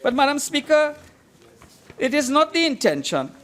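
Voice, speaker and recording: A man speaking as a member of parliament in a formal debate, making his points emphatically and deliberately and repeating phrases for weight. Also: extremely high pitch, sing-song, a bit bright. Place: parliament chamber.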